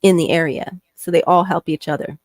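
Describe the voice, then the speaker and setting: Woman, co-host, casual chat, playful, quick laugh, lowish voice, dry sound. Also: steady tone, darkish tone, no room sound. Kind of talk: casual live-stream chat.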